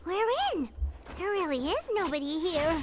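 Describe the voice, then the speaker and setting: A woman voicing a tiny, childlike floating fairy companion, squeaky, exclamatory and inquisitive, in a video game. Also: animated, normal speed, background noise. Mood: curious.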